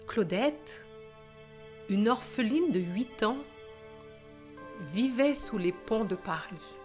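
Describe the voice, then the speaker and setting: Slow-paced woman teacher reading aloud. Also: a little high, lively intonation, a little mellow, faint music behind. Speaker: French teacher and storyteller. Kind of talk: slow, clear story reading for language learners.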